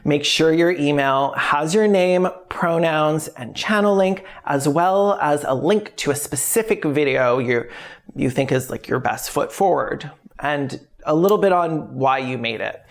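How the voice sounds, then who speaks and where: Woman, low voice, video creator, direct-to-audience narration, informal delivery. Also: lively intonation, unhurried. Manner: informal, sweary. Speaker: video essay creator and host. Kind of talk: direct-to-audience scripted narration and channel sign-off.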